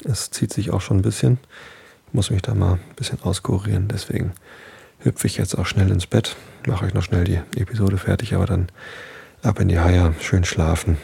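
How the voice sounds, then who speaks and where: A man with a nasal head cold reads a bedtime story in a calm, unhurried way. His voice is very low and dark, with a husky, gravelly grain. The close podcast mic gives a dry, intimate sound. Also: dry recording. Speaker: podcast host.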